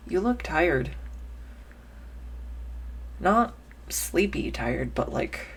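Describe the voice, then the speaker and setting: A woman playing an awkward, kind young woman befriending a stranger, speaking in an earnest, halting, nervous ramble in an intimate audio roleplay. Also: low-pitched, big pitch swings, gravelly, breathy edge, exaggerated voice. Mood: tender, concerned. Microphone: close USB condenser microphone.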